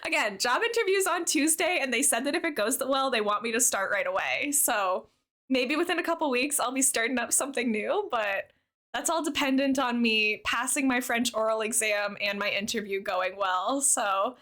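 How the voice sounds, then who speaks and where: A woman's voice: fairly high pitch, animated, moderate pace, fairly bright tone. A young woman livestreamer chatting casually with her viewers in a bubbly, talkative way. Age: young adult. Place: live game stream.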